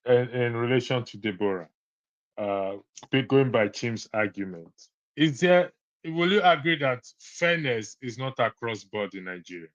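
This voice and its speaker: A man's voice: medium pitch, expressive, a little slow, no echo. A man moderating a heated online debate, often cutting in to take back the floor. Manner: keeps interjecting to regain the floor. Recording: dry, dull lo-fi call audio.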